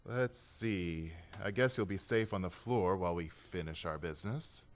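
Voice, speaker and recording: An actor playing a smug, menacing crook with mocking charm in a suspense radio play. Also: male voice, low-pitched, normal speed, lightly acted. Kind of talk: suspense radio drama acting.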